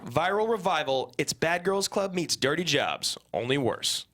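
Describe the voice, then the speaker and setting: A man's voice: on the high side, animated, a little slow, fairly bright tone. A man voicing a bombastic, scornful announcer for a trashy reality TV show trailer. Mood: sneering hype. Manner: scornful, hyped-up promo delivery. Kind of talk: reality TV promo narration.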